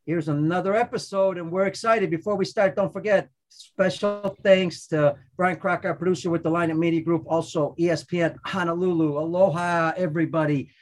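A man hosts a podcast in a loose, upbeat way. He rambles and restarts his sentences. His voice sits high for a man. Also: steady tone, a little fast. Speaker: podcast host. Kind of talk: casual chat.

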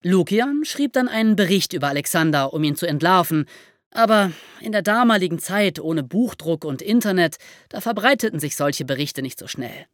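A woman hosting a podcast, explaining history as lively storytelling in simple, conversational terms. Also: on the low side, moderate pace, dry recording, studio quality. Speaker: podcast host telling history stories.

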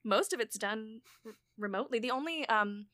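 A woman's voice: on the high side, sharp tone, no room sound, studio quality. A young hard-of-hearing woman hosting a podcast, chatting casually and joking about herself over a home microphone. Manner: chatty, self-mocking, quick laughs. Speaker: podcast host and producer. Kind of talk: casual chat. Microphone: home mic over voice chat.

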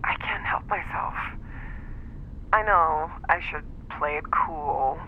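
A woman's voice: low-pitched, slow pace. A woman playing a pleading, seductive lover leaving a voicemail, half-whispering breathily in an audio drama. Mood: longing. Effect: phone voicemail filter. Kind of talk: intimate audio drama acting. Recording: muffled voicemail with background noise.

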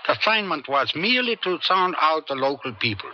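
Urbane, evasive male operative, animated. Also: on the high side, brisk. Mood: evasive, reassuring. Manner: urbane, dry, patronising. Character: smooth, evasive, condescending male government operative. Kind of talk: 1970s radio drama acting. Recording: muffled 1970s radio recording, faint hiss.

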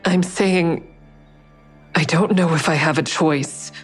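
A woman's voice: deep voice, slow, faint music behind. A woman playing an anxious but determined young amateur sleuth in a naturalistic audio drama. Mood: earnest, resolute. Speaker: lead character and first-person narrator.

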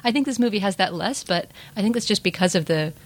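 A woman hosts a casual film podcast, speaking very quickly at a middling pitch. Her delivery is informal and opinionated, with a wry streak. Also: lively intonation. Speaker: podcast host.

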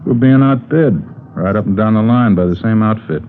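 An actor as a glum, low-voiced junkyard owner. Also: male voice, normal speed, phone-quality sound, natural voice. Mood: resigned, complaining. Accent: American. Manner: plain-spoken, resigned. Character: glum, beleaguered male wrecking-yard owner. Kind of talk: 1950s radio drama dialogue. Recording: old band-limited radio broadcast, faint noise.

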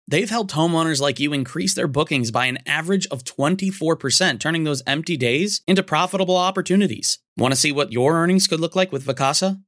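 A man's voice: a little high, a bit bright, no room sound, clean studio sound. A man reading a polished sponsor ad in a salesy tone. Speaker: ad reader. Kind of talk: read sponsor ad.

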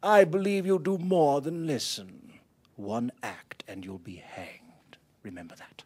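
An actor playing a stern British naval captain in an audio drama, imperious and threatening, with a husky voice. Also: male voice, mid-range voice, a little slow, a little mellow. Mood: menacing.

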